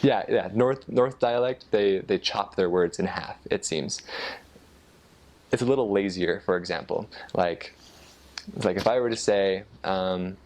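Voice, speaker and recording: American male, deep register, informal explainer, somewhat halting delivery as he thinks aloud. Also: a little mellow. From United States. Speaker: former missionary explaining Norwegian dialects. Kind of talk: casual explanatory talk.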